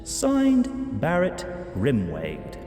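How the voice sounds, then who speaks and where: Man acting a slow, echoing gloating villain. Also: on the high side, wildly swooping pitch, dark tone, full character voice. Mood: gloating menace. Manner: drawn-out, menacing delivery. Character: gloating male villain signing off a taunting threat. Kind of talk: audio drama acting. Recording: echoey long reverb, music behind.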